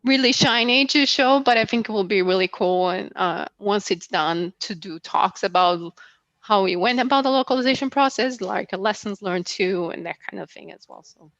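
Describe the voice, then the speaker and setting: A woman's voice: medium pitch, normal speed, a bit bright, no echo, muffled recording. A woman from Brazil, not a native English speaker, answering questions after her talk in a casual, hesitant way with many fillers. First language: Portuguese. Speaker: guest speaker.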